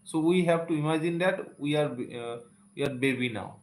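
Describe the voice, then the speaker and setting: A man's voice: fairly high pitch, normal speed, darkish tone, a little hiss or hum. A man with an Indian accent whose first language is Santali, giving an earnest live-stream talk to English learners and often repeating phrases and saying "okay". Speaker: English teacher. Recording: echoey room.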